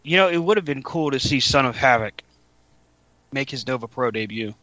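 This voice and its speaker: A man's voice: mid-range voice, lively intonation, moderate pace, bright, forceful tone, no echo. A man hosting a wrestling podcast, chatting casually, joking and laughing at himself. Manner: joking, laughs often, self-mocking. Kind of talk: casual chat. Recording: dull lo-fi podcast audio.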